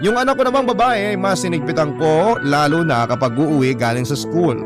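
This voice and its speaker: A man's voice: a little high, normal speed. A man with a Filipino accent narrating a dramatic first-person story from a script. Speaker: narrator reading a letter sender's story. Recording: prominent music under the voice. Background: loud instrumental music.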